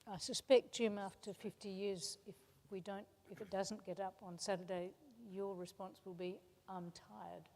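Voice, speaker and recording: A woman moderating a panel, polite and brisk. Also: medium pitch, level tone, a little mellow. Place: large cathedral hall. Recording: cathedral reverb, faint ambience.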